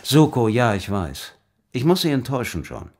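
An actor playing a firm, formal police chief in a German audio drama, brusque and authoritative. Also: male voice, mid-range voice, very animated, normal speed, a little mellow. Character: gruff authoritative male police chief, secretly fair.

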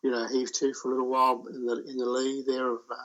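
A man talking about his sailing voyage as an interview guest, telling it in a halting, loose way. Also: mid-range voice, monotone, a bit bright, highs cut off. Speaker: solo sailor guest. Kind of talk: casual interview chat.

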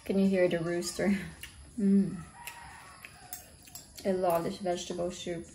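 Relaxed young Filipino woman vlogging. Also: on the low side, a little slow, slight background noise. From Cebu, Philippines. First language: Bisaya. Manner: relaxed, chatty, says "um" and "like" often. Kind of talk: casual vlog chat. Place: rural house in the Philippines, indoors and outdoors. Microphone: handheld camera held at arm's length.

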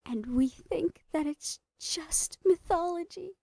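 A woman's voice: very high voice, takes it slowly, creaky, warm tone, no echo, clean studio sound, exaggerated voice. A woman playing a psychotic female doctor who dotes obsessively on a captive, cooing and slipping into whispers in a horror roleplay. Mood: gleefully obsessed.

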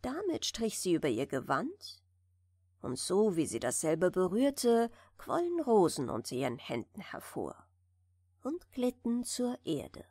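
Slow, soft woman reading bedtime tales. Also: lowish voice, wildly swooping pitch, no room sound, studio quality. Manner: gentle, soothing, dropping at times to a breathy near-whisper. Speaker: audiobook narrator.

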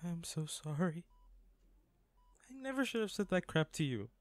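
A man's voice: on the high side, big pitch swings, normal speed, no room sound. A man playing a devoted, guilt-ridden boyfriend in an intimate audio roleplay, speaking in a pleading, tender, apologetic way. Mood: emotional, apologetic.

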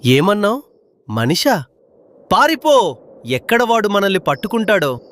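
Guy doing a bad hawk for a kids cartoon, high voice jumping all over, cowardly bully. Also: big pitch swings, a little slow, a bit bright, heavily acted. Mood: panicked. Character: menacing but easily frightened villain hawk. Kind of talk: children's cartoon villain dialogue.

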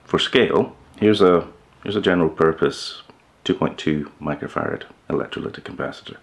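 A man in his fifties giving a measured, instructional talk to camera, explaining things step by step with pauses. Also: low voice. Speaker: presenter of a hi-fi DIY video channel. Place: living room with hi-fi speakers, indoors.

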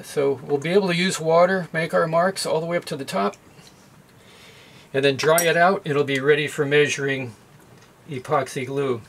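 A man's voice: on the high side, moderate pace, gravelly, a bit bright, slight background noise. A middle-aged man demonstrating at a boat's galley sink, explaining steps in a plain, methodical way with occasional fillers. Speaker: sailing how-to video host. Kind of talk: hands-on demonstration.

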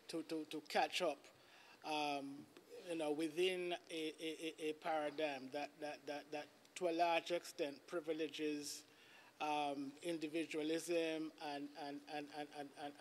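Older man, raised pitch, halting and repetitive, formal panel remarks, faint noise. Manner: halting, repeats words searchingly. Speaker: panelist, human rights specialist. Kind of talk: extemporaneous closing remarks on a panel. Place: large indoor conference room. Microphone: desk conference microphone.

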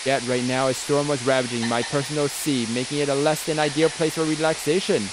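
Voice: medium pitch, steady tone, fairly quick, noisy background. A let's play host reading visual novel narration aloud, with casual asides.

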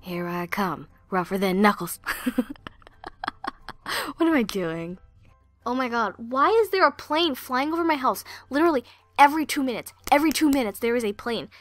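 Goofy amateur voice actress, highish voice, close dry recording, music bed behind. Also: female voice, a bit bright, no echo. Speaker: amateur voice actor. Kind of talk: outtake chatter between scripted lines.

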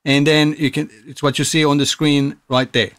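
A man in his thirties with a fairly high voice talks through a tutorial in a casual, explanatory way. The sound is dry and close, as in a small room. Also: flat delivery, normal speed, a bit bright, dry recording. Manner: explanatory, step-by-step. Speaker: tutorial presenter.

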